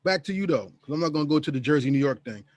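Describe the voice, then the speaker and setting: A man's voice: on the high side, animated, racing. A man hosting a podcast interview, putting questions directly to his guest. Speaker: podcast host.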